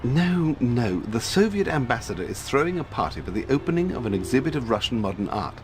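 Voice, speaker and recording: An actor playing a dapper, jittery British secret service gentleman in a video game, with a plummy upper-class English accent and fussy politeness. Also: mid-range voice, big pitch swings, a little fast, background noise, lightly acted. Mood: nervous. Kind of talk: video game cutscene acting.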